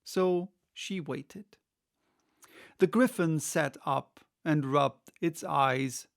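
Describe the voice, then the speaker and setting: A man's voice: on the high side, drawn-out words, gravelly. A man reading a classic children's story aloud as scripted audiobook narration. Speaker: audiobook reader.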